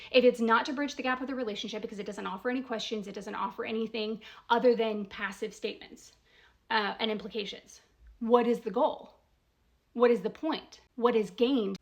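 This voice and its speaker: A woman with a slightly high voice that often crackles into fry. She talks as a commentator working through an argument in casual, deliberate steps. She leans on key words, poses probing questions and tags her points with little checks for agreement. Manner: probing rhetorical questions, frequent "right?" tags. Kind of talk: casual spoken analysis.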